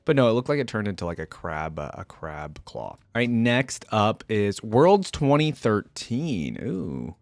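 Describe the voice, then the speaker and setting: A man's voice: fairly low pitch, sing-song, measured pace, gravelly, hardly any pauses, no echo. A man hosting a reaction video, giving casual, informal and exclamatory commentary.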